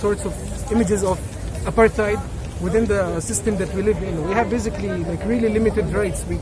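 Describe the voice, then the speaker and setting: Man from Palestine answering at a loud rally. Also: high voice, steady tone, fairly quick, over music, crowd noise. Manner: searching for words, many fillers. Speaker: protester. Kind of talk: interview answers. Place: outdoor street protest.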